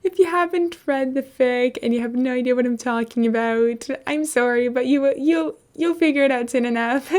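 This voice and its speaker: A woman's voice: sits high, normal speed, a little mellow. A woman reading and talking as a podfic narrator, in an informal storytelling style. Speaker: podfic reader. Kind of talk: story narration and casual commentary.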